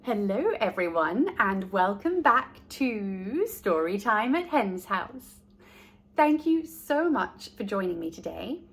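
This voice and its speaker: A woman reading a children's story aloud as host, speaking directly to young listeners in a deliberate way. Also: mid-range voice, sing-song, a bit bright.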